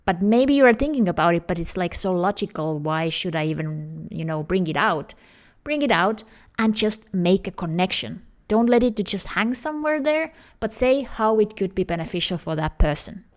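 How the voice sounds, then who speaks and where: A woman's voice: on the low side, lively intonation, moderate pace. A woman in her thirties with an Estonian accent, a job search coach talking straight to camera in an earnest, explanatory way. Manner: earnest, explanatory, with non-native phrasing. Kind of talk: direct-to-camera advice video.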